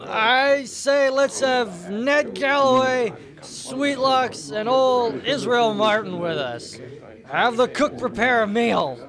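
An actor playing a boastful, menacing pirate captain in an audio drama, with a blustering, swaggering delivery. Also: male voice, very high-pitched, slow pace, a crowd in the background. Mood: hearty.

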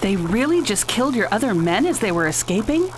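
Compassionate space heroine played by a woman, lively intonation, anxious, emotional, radio drama, faint noise. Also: mid-range voice, a little fast, close to an everyday voice. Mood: anxious, concerned. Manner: emotional, concerned.

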